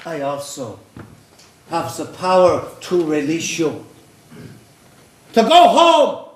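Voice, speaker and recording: An actor playing a taunting, grandiose Nazi secret police captain in a stage play, speaking deliberately. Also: male voice, a little high, very animated. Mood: mocking, coaxing. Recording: echoey stage recording with noise.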